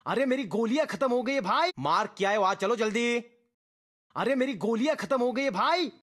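A performer voicing an excitable teammate in a battle game, barking comic callouts with exaggerated, drawn-out delivery. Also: medium pitch. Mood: bossy, comic. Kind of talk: shouted in-game voice-pack callouts, Hindi slang.

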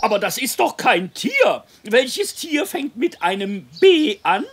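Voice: medium pitch, big pitch swings, takes it slowly, a bit bright. An actor voicing a prim male gander schoolteacher who patiently instructs and corrects in a children's cartoon. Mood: instructive. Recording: birdsong noise throughout.

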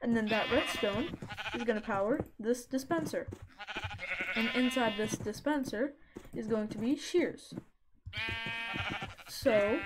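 A woman with a somewhat high-pitched voice talks through a game build in a relaxed, chatty tutorial manner. She chats with the animals on screen as she goes. Clear noise and game sounds sit under her voice. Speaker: Minecraft let's play creator. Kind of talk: casual gameplay tutorial narration.

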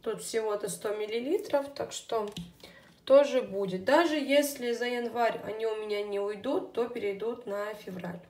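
A woman's voice: fairly high pitch, a little mellow. A young woman who has a child, chatting casually through her beauty products, her voice a little off. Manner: chatty, unhurried, with fillers. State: voice somewhat unwell. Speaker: beauty vlogger. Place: indoors at a table, hands on camera. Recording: echoey room.

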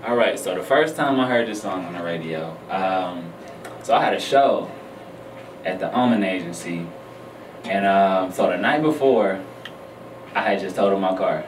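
Casting: American male, 30s, low voice, halting interview guest, roomy studio sound. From Detroit, United States. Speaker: guest musician. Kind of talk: casual radio interview. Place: small radio studio. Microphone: studio boom microphone. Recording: roomy, distant mic, faint noise.

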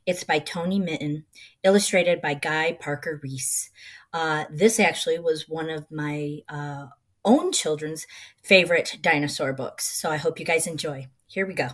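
A middle-aged woman reading a children's picture book aloud in an expressive, rhythmic, sing-song way. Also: sits low, measured pace.

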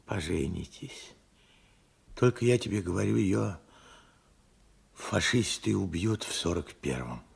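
An old steelworker father in a Soviet radio play. His voice is very husky and gravelly, swinging widely in pitch. He tells of his losses wearily, in short, halting phrases. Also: lively intonation, exaggerated voice. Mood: sorrowful, subdued. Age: older. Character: weary old widowed steelworker father recalling war losses. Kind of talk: Soviet radio drama acting.

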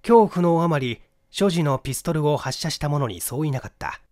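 A man narrating a mystery story from a script in an expressive storytelling manner. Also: fairly high pitch, normal speed, no echo, clean studio sound. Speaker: audiobook narrator, stage actor.

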